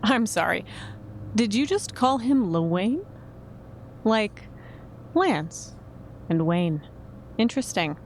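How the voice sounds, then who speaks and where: An actress playing a composed, shrewd investigating agent in a podcast drama, polite, official and measured. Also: female voice, medium pitch, big pitch swings, slight background noise. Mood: suspicious. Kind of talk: naturalistic fiction podcast acting.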